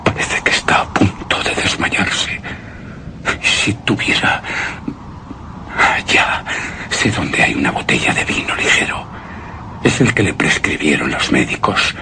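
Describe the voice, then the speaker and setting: An actor playing a haunted, anguished husband who narrates a gothic horror tale in a radio drama, with heavy dramatic pauses. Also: male voice, extremely low pitch, expressive, background noise, full character voice. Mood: fearful, bewildered. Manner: slow, brooding, dramatic delivery with heavy pauses.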